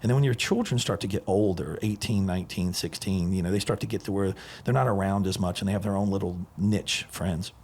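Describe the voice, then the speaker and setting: A man's voice: deep voice, fairly quick, few pauses, darkish tone, husky. A man in his forties hosting a podcast, telling stories in an enthusiastic, rambling casual chat. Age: 40s. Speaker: podcast host.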